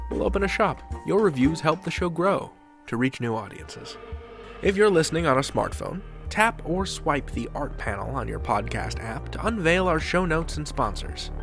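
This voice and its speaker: A man hosting a podcast with measured, polished scripted narration. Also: mid-range voice. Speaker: podcast host.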